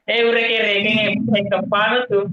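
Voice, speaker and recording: A man's voice: high-pitched, level tone, normal speed, bright, forceful tone. A man chatting casually on a live stream. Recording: roomy, dull lo-fi audio.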